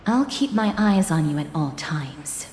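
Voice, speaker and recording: A woman voicing a guarded, solemn young female protector in a video game, speaking in a stern, formal, measured way. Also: deep voice, animated, a little mellow, slight background noise. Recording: reverberant hall echo. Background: music.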